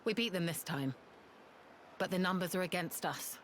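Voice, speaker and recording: A woman's voice: on the low side, moderate pace, fairly bright tone, a little hiss or hum, close to an everyday voice. A woman playing a tough, blunt, confident female fighter in a video game. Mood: grim, frank.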